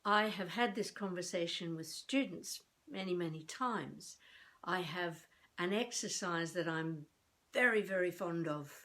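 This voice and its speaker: An older woman from Australia giving improvised instruction as a voice coach, speaking deliberately in spaced phrases with theatrical emphasis. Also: on the low side, fairly bright tone. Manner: deliberate, with spacious pauses between phrases. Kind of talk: improvised instructional talk to camera.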